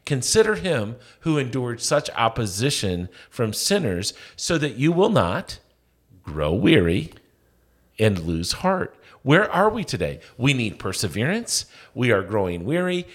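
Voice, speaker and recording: A man with a lively, rising and falling voice opens a faith podcast by reading scripture. He speaks in an emphatic, preacherly way. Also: mid-range voice, a little slow.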